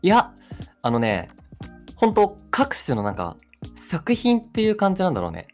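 A man's voice: sits high, huge pitch swings, normal speed. A young man co-hosting a radio show, casually recounting and explaining in loose conversational phrases. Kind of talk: casual chat, recounting an experience. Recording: music playing behind the voice. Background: music.

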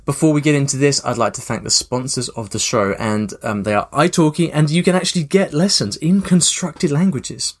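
A man hosting a language-learning podcast, relaxed and chatty with frequent fillers. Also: fairly high pitch, a little fast, hardly any pauses. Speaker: podcast host. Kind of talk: casual podcast chat.

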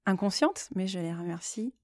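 Woman doing a solo podcast, soft mellow tone, kinda hesitant and thoughtful, really dry close sound. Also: mid-range voice, lively intonation, normal speed, dry recording. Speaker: podcast host and author. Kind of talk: reflective solo podcast talk.

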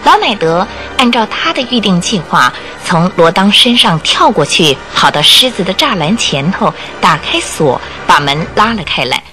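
A woman narrating a radio detective drama in a dramatic, expressive storytelling style from a script. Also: lowish voice, normal speed, few pauses. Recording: music under the voice.

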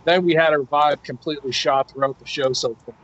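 A man's voice: on the high side, steady tone, a little fast, a bit bright, dull audio. A man hosting a podcast, who mocks and swears in casual banter. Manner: mocking, profane.

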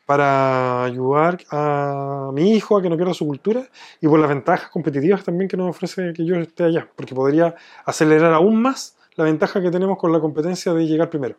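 A man's voice: fairly high pitch, brisk, a little mellow, lo-fi sound. A man from Chile with a Chilean accent, explaining things from his own experience in a conversational interview. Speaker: business owner being interviewed.

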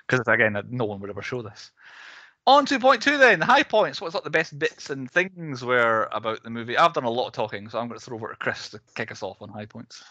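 A man hosts a casual film discussion in a bright, forceful voice. He rambles through animated asides at a middling pitch. The sound is dry, with very little room. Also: fast talker, no echo, dull audio. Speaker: podcast host.

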